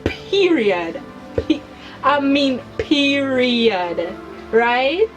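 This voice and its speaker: Casting: young woman channel host, fairly high voice, hyper and giggly, repeats words for emphasis, music underneath. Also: sing-song, extremely slow, low-quality recording. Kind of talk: chatty personal commentary to viewers.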